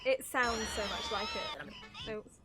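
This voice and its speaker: Giggly girl streamer, high voice, swoops all over, chatting while she plays. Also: slow pace, very noisy. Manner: giggly, excitable, easily sidetracked. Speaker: livestreamer reacting to a video game. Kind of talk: casual excited chat while gaming.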